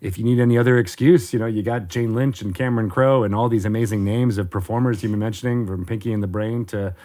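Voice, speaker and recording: Upbeat low-voiced male podcast host. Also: steady tone, fast talker, warm tone. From former theater major. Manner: upbeat, rambling, many fillers. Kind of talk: casual podcast chat.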